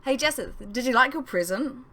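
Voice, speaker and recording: A woman's voice: sits high, animated, a little fast, sharp tone, voice pushed up. A woman voicing a sociable young woman in an audiobook, chatty, breezy and teasing.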